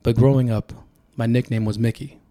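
A man from Sacramento, California, whose first language was Tongan, speaks as a podcast guest. His voice is dark and mellow, sitting at an ordinary middle pitch. He talks in a relaxed, reflective way and tells stories as he goes. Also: a little fast. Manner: reflective, storytelling. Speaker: podcast guest, political candidate. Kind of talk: casual podcast conversation.

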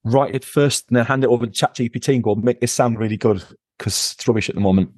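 A man's voice: medium pitch, quick pace, a little mellow, no room sound, clean studio sound. A man speaking as a podcast guest, emphatic and repeating words for stress in a conversational interview. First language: English.

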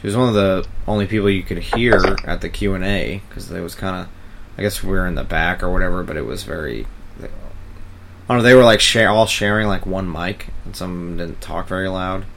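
Casual male talker, deep and creaky, loose meandering delivery, feeling his way through thoughts. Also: a little fast, a bit bright. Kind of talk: casual rambling chat with frequent profanity.

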